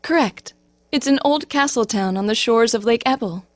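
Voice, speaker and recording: Woman as a dignified, highish-voiced young noblewoman. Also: normal speed, dry recording, faint music behind, lightly acted. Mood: proud, firm. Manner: formal, composed, direct. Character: dignified, proud, forthright young noble swordswoman. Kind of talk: video game voice acting.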